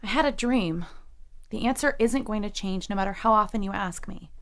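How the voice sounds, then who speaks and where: An actress plays a young college freshman in an audio drama, with a mid-pitched everyday voice. She is guarded and understated, quietly on the defensive. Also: moderate pace, natural voice.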